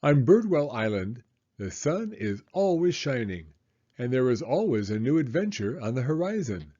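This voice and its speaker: A man reading a children's story aloud in an expressive, deliberate storytelling manner. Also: mid-range voice, highs cut off. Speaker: storybook reader. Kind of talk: children's read-aloud narration.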